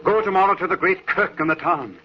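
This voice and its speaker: An actor playing a solemn young spectre bridegroom, formal and ominous, in an old radio horror drama. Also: male voice, sits high, a little fast, natural voice. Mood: solemn, fatalistic. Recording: muffled old radio with clear hiss.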